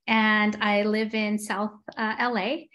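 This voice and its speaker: A woman's voice: medium pitch, level tone, takes it slowly, a bit bright. A woman in her forties from Los Angeles, a guest entrepreneur chatting casually in an interview over a video call, with frequent "um" fillers. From Los Angeles, USA. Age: 40s. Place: home room with window blinds.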